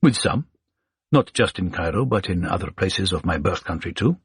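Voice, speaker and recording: A man's voice: low-pitched, expressive, husky, no echo, studio quality, voice pushed down, full character voice. A man reading as a wise blind old scholar. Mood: calm. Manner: courteous, measured, formal phrasing. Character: blind older male Egyptian scholar, wise and witty.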